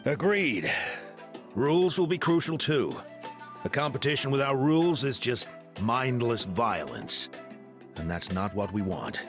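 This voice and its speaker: An actor playing a stern, principled warrior chief in a video game, deliberate and authoritative. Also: male voice, mid-range voice, very animated. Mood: firm. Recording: background music behind voice.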